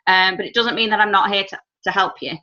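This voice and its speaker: Bright, chatty English woman on a call. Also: mid-range voice, steady tone, fast talker. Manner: chatty, reassuring, self-described as shy. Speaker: team trainer leading a call. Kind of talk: casual group video call. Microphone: video call. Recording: muffled online call audio with faint noise.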